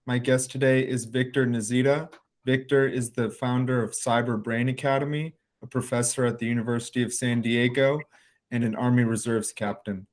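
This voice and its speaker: A man's voice: medium pitch, fairly even intonation, normal speed. A man hosting a podcast, introducing and questioning his guest in an interview style. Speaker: podcast host.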